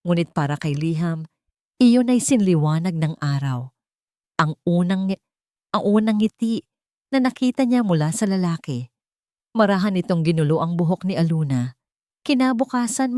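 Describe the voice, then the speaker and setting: A woman reading a scripted romantic drama story in a measured, dramatic storytelling manner. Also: fairly low pitch, mellow, no room sound, clean studio sound. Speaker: narrator.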